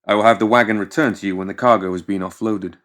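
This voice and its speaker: A man's voice: on the low side, steady tone, quick pace, a bit bright, natural voice. Man voicing a curt, formal hooded stranger. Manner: formal, clipped. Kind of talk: audiobook character voice.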